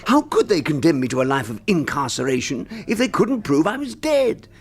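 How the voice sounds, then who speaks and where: An actor playing a flustered, scheming British husband in a radio drama, wordy, theatrical and fretful. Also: male voice, a little high, sing-song, a little fast. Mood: excited scheming. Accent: British English. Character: flustered, scheming husband beset by his own duplicate.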